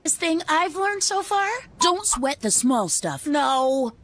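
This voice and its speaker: A woman's voice: high-pitched, sing-song, takes it slowly, fairly bright tone, faint music behind. A woman speaking short, reflective lines in a commercial.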